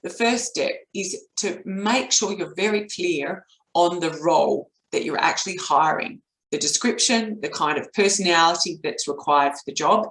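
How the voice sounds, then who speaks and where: A middle-aged woman with an Australian accent and a voice croaky from a cold, explaining steps as a business coach in a webinar. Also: sits low. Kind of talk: explanatory webinar talk with step-by-step recap. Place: indoor home office, at a desk. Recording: roomy, echoey webcam audio.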